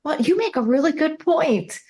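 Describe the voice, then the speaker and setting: Woman host for an interview podcast, high and lively pitch, asks questions. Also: moderate pace.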